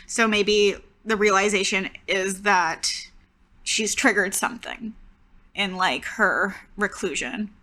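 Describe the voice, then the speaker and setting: A woman's voice: medium pitch, slow, sharp tone. A woman casually discussing story ideas and rules as a player in a tabletop roleplaying game.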